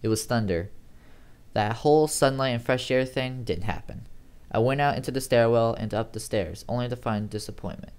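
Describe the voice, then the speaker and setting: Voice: medium pitch, moderate pace, darkish tone, smooth. A person reading a first-person horror story aloud as an amateur narrator, with a dramatic, uneasy delivery.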